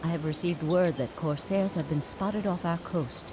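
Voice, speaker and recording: An actress playing a seasoned, composed female commander in a fantasy game, speaking in a formal, measured, authoritative way. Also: fairly low pitch, noisy background, close to an everyday voice. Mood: commanding. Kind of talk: scripted fantasy game acting.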